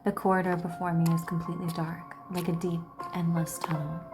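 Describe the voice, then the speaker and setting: Woman, fairly low voice, game commentary, reading on-screen story, casual asides, loud music behind. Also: little pitch movement, brisk. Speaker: gaming commentator. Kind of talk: reading game text aloud with casual reactions.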